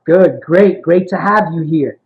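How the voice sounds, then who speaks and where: A male archivist leading an oral history interview, warmly affirming his guest between questions. His voice is a little high for a man. The recording is dull and muffled. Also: a little slow. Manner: friendly, affirming, keeps saying "got it" and "awesome".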